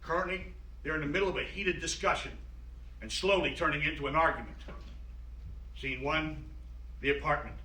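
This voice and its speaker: A man reads out stage directions as scripted narration. His voice is bright and a little high, caught from a distance in a roomy space. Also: normal speed, pauses often. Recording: roomy, distant mic, audible noise.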